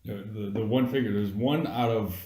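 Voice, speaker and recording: A man's voice: on the low side, moderate pace, mellow, reverberant. A man co-hosting a casual movie review, explaining his points insistently in loose, sweary conversation. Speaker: co-host of a movie review podcast.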